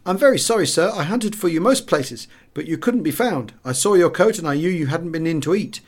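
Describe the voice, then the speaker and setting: A man's voice: fairly high pitch, expressive, fairly quick, pitched above the performer's own voice. A man voicing an anxious, deferential restaurant waiter in an audiobook, apologetic and pleading. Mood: apologetic, coaxing.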